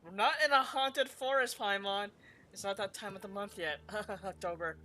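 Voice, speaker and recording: A woman's voice: mid-range voice, lively intonation, normal speed, sharp tone. A woman game streamer giving casual commentary over gameplay with playful, teasing asides.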